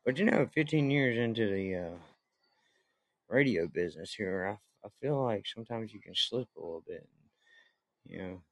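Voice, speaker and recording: Laid-back low-voiced Southern man. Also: animated, moderate pace, dry recording. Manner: easygoing, joking, folksy. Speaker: podcast host. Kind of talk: casual chat.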